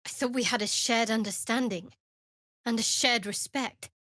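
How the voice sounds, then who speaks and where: A woman voicing a guarded, dry-witted young female cleric in a fantasy video game, measured and wry. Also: fairly high pitch, sharp tone, dry recording. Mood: guarded, solemn.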